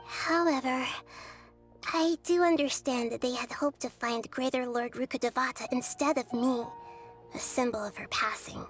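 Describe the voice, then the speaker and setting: A woman voicing a wise, humble young girl deity in a video game, speaking softly and earnestly in measured, formal phrases. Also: high-pitched, fairly even intonation, close to an everyday voice. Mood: wistful, humble. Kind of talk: scripted video game character dialogue. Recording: music under the voice.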